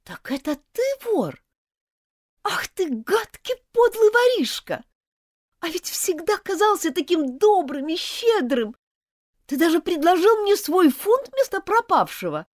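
A woman's voice: very high voice, very animated, a little slow, dry recording, clean studio sound, voice pushed up, exaggerated voice. A woman reading aloud, voicing an indignant schoolgirl who scolds and accuses. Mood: outraged. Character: furious indignant schoolgirl, sharp-tongued. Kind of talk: audiobook character voice.

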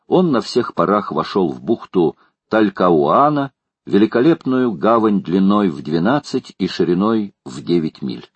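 A man reading a classic adventure novel aloud as an audiobook narrator, in a measured, even storytelling manner. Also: on the low side, husky, dry recording.

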